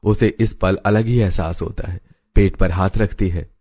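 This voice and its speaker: A man's voice: sits low, steady tone, moderate pace, dark tone, dry recording. A man narrating a scripted family story for a cartoon.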